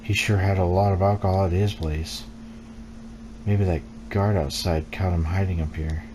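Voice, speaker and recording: Man, low voice, horror game narration, streamer reading aloud, noticeable background noise and ambience. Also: fairly even intonation, moderate pace.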